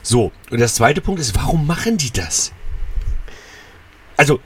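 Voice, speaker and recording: A man's voice: mid-range voice, big pitch swings, unhurried, a bit bright, slight background noise. A man from Germany, a cabaret artist co-hosting a podcast, talking with wry, teasing irony. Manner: wry, teasing, dryly ironic. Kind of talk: casual political chat.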